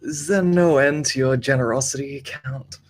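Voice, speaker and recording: A man's voice: mid-range voice, moderate pace, lightly acted. A man playing a drunken, lecherous, smug aristocratic villain in a dramatic table read.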